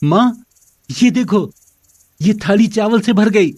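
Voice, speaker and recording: A man playing a poor, carefree fifteen-year-old boy who talks to his mother in a whiny, colloquial way in an animated moral story. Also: sits high, takes it slowly, dry recording. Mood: amazed.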